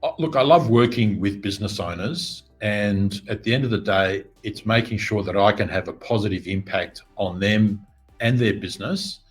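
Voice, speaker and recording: A man's voice: lowish voice, moderate pace, faint music behind. Earnest middle-aged male business coach. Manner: steady, earnest, reflective. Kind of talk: interview answers.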